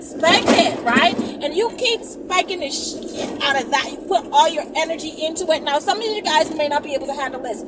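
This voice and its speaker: Sassy lady rambling on her vlog, really animated voice, loud vehicle noise, phone sounds kinda far away. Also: high-pitched, brisk. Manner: emphatic, sassy, repeats phrases and checks with "okay?". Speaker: vlog host. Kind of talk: casual rambling vlog talk to viewers.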